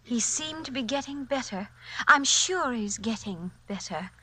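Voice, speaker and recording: A woman's voice: a little high, animated, a little slow, pushed and bright, breathy edge, full character voice. A woman playing a worried, reproving but patient wife in a 1940s radio drama. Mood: anxious, apologetic.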